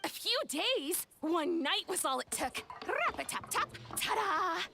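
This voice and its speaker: A woman voicing an excitable, dreamy young female park founder in a video game, theatrical and effusive. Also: very high voice, unhurried, gravelly, loud music behind the voice, exaggerated voice. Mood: proud, bubbly. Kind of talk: video game character acting.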